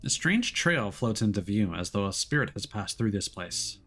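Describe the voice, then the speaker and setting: A male game streamer with a fairly low voice and lively, varied intonation. He reads story narration aloud expressively and slips easily into casual live chat. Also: normal speed, husky.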